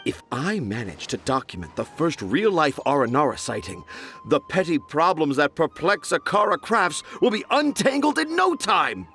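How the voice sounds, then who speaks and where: A vain, dreamy storybook author who speaks in grand, flowery phrases. His voice sits a touch high and swings dramatically up and down. The delivery is theatrical game dialogue. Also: very animated, normal speed, sharp tone, faint music behind, full character voice. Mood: grandiose. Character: pompous, dreamy male children's author.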